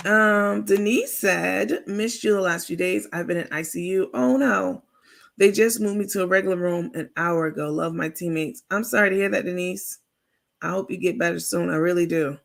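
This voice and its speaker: A woman with a raspy voice hosting a casual livestream, chatting informally with viewers and reading out their comments. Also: fairly low pitch, normal speed. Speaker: livestream host.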